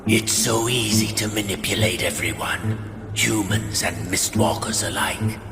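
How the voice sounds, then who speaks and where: An actor playing a sinister, scheming sorcerer patriarch in a video game, with a calm, deliberate, ominous delivery. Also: male voice, on the low side, reverberant, over music. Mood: cold, scheming. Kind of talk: video game villain monologue.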